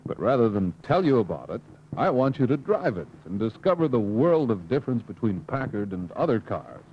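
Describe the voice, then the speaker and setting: Man acting as confident American car salesman, animated intonation, polished pitch, 1950s training film, dull hissy soundtrack. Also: fairly quick, close to an everyday voice. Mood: persuasive. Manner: smooth sales-pitch delivery.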